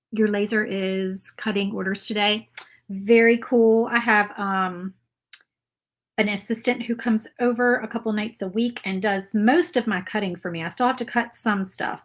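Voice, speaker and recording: A woman with a mountain Appalachian accent talks in a loose, rambling way as she paints live for her viewers. Her voice sits at a middle pitch and keeps a chatty, friendly flow. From Virginia, USA. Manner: chatty, rambling, reads viewer comments aloud. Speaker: craft livestream host. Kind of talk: casual live craft stream chat.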